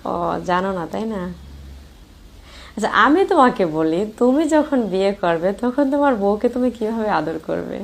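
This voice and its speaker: A woman's voice: medium pitch, lively intonation, normal speed. A woman with a Bangladeshi accent playing a flirtatious, lonely married sister-in-law in an amateur romantic phone-call audio drama. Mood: coy, teasing. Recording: clear background noise.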